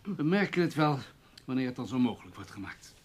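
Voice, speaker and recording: Dutch radio drama: courteous, diplomatic newspaperman, slightly husky, formal and conciliatory. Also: medium pitch, very animated, a little fast. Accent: Dutch, Netherlands. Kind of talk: Dutch radio play acting.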